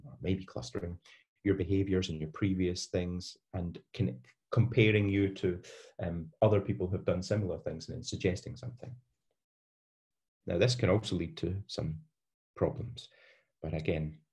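Low-voiced Scottish lecturer, halting delivery. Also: male voice, a little mellow. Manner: hesitant, many fillers and restarts. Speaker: university lecturer. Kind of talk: online lecture over slides. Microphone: webcam on a video call.

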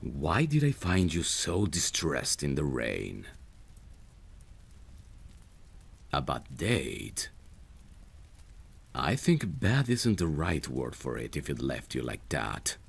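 A man playing a protective, lovesick friend in a romantic ASMR roleplay, speaking tenderly and intimately in a husky, gravelly voice. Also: rumbling low, wildly swooping pitch, exaggerated voice. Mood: tender, concerned. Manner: tender, soothing, unhurried. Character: protective lovesick male friend, gentle and devoted.

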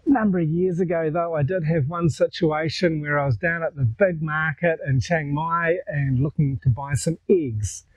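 A man in his sixties with a New Zealand accent, telling stories to camera in a relaxed, conversational way on a lapel mic outdoors. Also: fairly high pitch, moderate pace, few pauses, no echo, muffled recording. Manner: relaxed, conversational, gestures while talking. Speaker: vlogger. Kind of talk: casual storytelling to camera. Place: outdoors in a garden.

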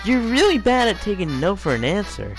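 Guy putting on cocky lil cartoon cup kid, high pitched, kinda threatening. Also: very animated, fairly quick, soft background music. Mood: smug menace. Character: cocky cartoon mug boy, teasingly threatening.

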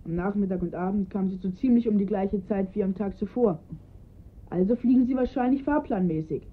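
A woman's voice: mid-range voice, fairly quick, husky, lightly acted. A woman playing an observant, earnest young boy in a radio crime drama, recounting details precisely. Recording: muffled phone-like band-limited sound.

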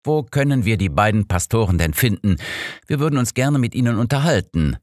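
A man's voice: fairly low pitch, lively intonation, fairly quick, darkish tone, no room sound, studio quality, voice pushed up, natural voice. A man voicing an old master detective in an audiobook, composed, inquisitive and matter-of-fact. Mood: inquiring.

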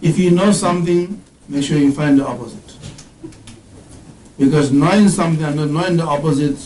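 Higher-voiced man teaching in an echoey room. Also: darkish tone, far from the mic, a little hiss or hum. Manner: questions the audience, explains terms slowly, recites Arabic verses. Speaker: religious teacher. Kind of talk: interactive religious lesson. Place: indoor classroom with whiteboard.

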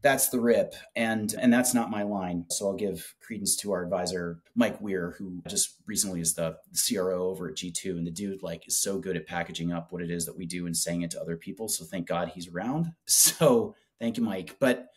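A man in his forties making a casual, enthusiastic product pitch in conversation, speaking colloquially on a video call. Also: brisk, few pauses. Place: small office with whiteboard, indoors.

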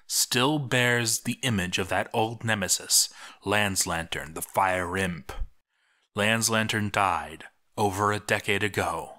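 A man narrates a scripted tale in a fairly low, bright voice. His delivery is theatrical and deliberate, leaning on dramatic pauses as a storyteller would. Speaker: narrator of a video series. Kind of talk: dramatic scripted story narration, reading a game chronicle aloud.

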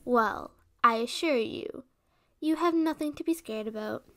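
A woman's voice: on the high side, unhurried. A woman playing a protective, playful resurrected witch in an ASMR roleplay, speaking in a sweet, theatrical way. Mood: reassuring.

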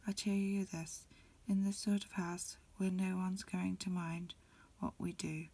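A woman whispers her way through a storybook in an ASMR style. Her voice is extremely breathy and on the low side. She reads softly and evenly in short, unhurried phrases. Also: little pitch movement. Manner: soft, unhurried, phrase-by-phrase reading. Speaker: amateur reader. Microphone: lo-fi home recording.

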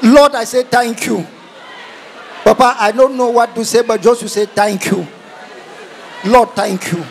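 A man in a congregation giving slow, emotional thanks into a handheld microphone in a large church hall. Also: extremely high pitch, fairly even intonation, vocal fry, some chatter behind, a little hiss or hum.

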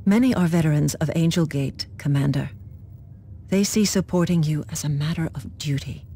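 A man's voice: a little high, normal speed, mellow, slight background noise, natural voice. An actor playing a dutiful military aide in a video game, reporting to his commander in a formal, earnest way. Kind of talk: video game mission dialogue.

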